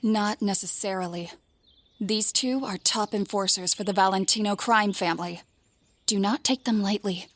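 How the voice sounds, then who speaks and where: A woman's voice: medium pitch, moderate pace, no room sound, natural voice. A woman playing a noble, commanding captain of the town guard in a fantasy audio drama, formal and authoritative. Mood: firm, urgent.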